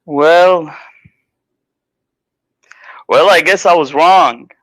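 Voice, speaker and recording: A man from the United States calling into a debate, talking casually in a halting, defensive way. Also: on the high side, fairly bright tone, dry recording, poor audio. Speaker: caller.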